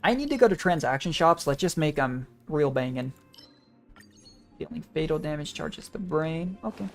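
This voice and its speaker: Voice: medium pitch, lively intonation, moderate pace, gravelly. A game streamer giving casual gameplay commentary, rambling and thinking aloud. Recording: game music behind the voice. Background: video game music.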